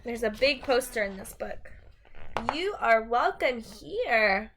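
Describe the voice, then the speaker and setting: A woman's voice: on the high side, very animated, slow, fairly bright tone, a little hiss or hum. A woman teacher doing a read-aloud storytime for young children in a sing-song manner.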